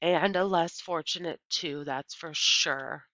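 A woman voicing a distracted but soft-hearted preacher father in a storybook, terse, questioning and firm. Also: sits low, slow pace, dry recording, exaggerated voice. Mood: softening. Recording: dull, lo-fi computer microphone sound.

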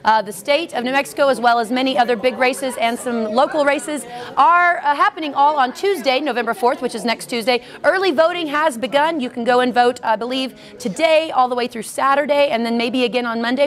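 A woman in her thirties hosting a local talk show, chatty and upbeat, interviewing a guest on a handheld mic indoors. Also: high voice. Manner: chatty, upbeat, friendly asides. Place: indoor meeting room with a small crowd.

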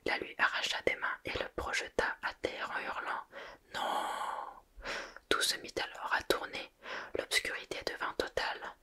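Breathy, crisp whispering reader. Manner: soft, unvoiced whisper throughout. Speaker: book reader and reviewer. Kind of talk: whispered ASMR reading.

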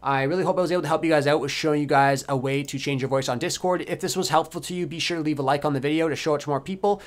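Male tutorial host, quick delivery, slightly higher-pitched voice, casual step-by-step explaining. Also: fairly even intonation. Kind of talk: casual software tutorial narration.

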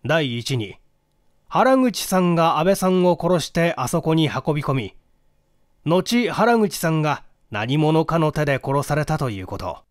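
Casting: male reader as polite, methodical detective for mystery audiobook; higher-pitched male voice, animated intonation, dry close sound. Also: no room sound. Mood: serious, explanatory. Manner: polite, measured, explanatory. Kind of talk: dramatic audiobook reading of a mystery.

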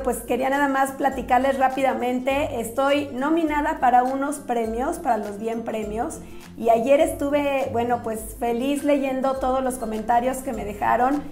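A woman in her forties with a Mexican accent and a slightly hoarse voice, talking casually and effusively to the camera as a nutritionist hosting her show. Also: high-pitched. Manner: effusive, friendly, thankful. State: slightly hoarse, recovering from a sore throat. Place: small indoor office. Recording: echoey small room.

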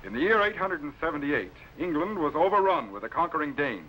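An actor playing a commanding, earnest scientist captain in a 1950s TV serial, speaking in a clipped manner. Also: male voice, a little high, expressive, fast talker, bright, forceful tone. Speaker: time-travelling scientist captain. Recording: noisy old 1950s TV film soundtrack.

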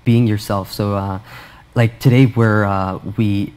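A man's voice: lowish voice, fairly even intonation, measured pace, a little mellow, smooth. A young man in his twenties talking casually as an interview guest, hesitant, with many fillers and restarts, on a lapel mic. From Canada. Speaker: interview guest, a cappella musician. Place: small studio set, seated in armchair.

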